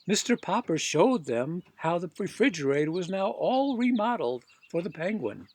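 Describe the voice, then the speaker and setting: A male reader narrates a children's story. His voice is pitched a bit above the usual for a man, and he reads the scripted text expressively. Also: sing-song, moderate pace, darkish tone, no echo. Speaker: audiobook reader.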